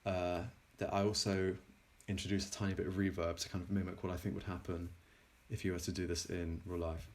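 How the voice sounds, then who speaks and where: A man presenting his own software in an informal technical demo, halting and often pausing mid-sentence. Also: sits low, little pitch movement. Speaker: plugin developer presenting a demo.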